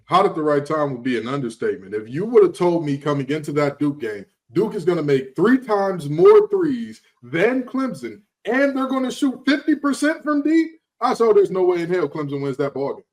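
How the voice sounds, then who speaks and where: A man on a sports podcast panel, emphatic and animated in casual talk, often repeating his phrases. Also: a little high, fairly quick, hardly any pauses, rough recording.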